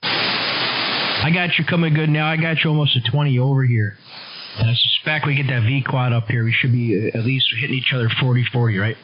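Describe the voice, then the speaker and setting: Over a dull radio signal with faint hiss, a North American man chats casually. His pitch is average, and his talk is matey and full of easygoing slang. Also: fairly quick. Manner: laid-back slang, matey. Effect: radio transmission. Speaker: radio operator. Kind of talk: casual chat.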